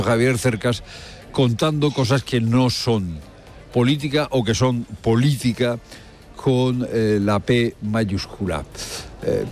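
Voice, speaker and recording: A male news presenter delivers live commentary in a medium-pitched voice. He leans on rhetorical questions and repeats his key phrases for emphasis. Faint music plays underneath. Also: darkish tone. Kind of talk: live spoken commentary with field reporting.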